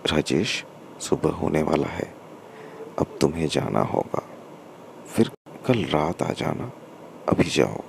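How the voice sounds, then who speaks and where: A man's voice: very deep voice, sing-song, takes it slowly, gravelly, pauses often, slight background noise, heavily acted, poor audio. A man voicing a coy young married woman with a secret lover in a story. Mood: gently urging.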